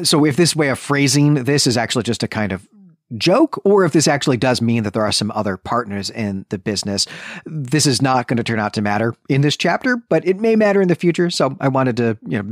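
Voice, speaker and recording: A man with an animated, swinging delivery talks through a book as a podcast host. He is enthusiastic and leans on his words for emphasis, and the recording is close and dry. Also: medium pitch, no echo. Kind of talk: literary discussion and recap.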